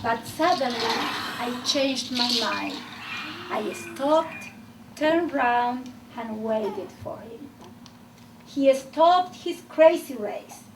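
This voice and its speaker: A woman slowly and dramatically reading a dream story aloud, building to near-shouts at its peak. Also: sits high, animated. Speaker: English learner. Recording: distant mic with loud noise.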